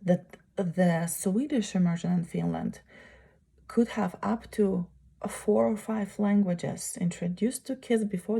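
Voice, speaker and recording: A woman speaks in a low, gravelly, husky voice. Her tone is mellow rather than bright. She gives an unscripted presentation, halting and pausing often as she searches for the right words. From Uzbekistan. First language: Russian. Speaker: student presenting a course project.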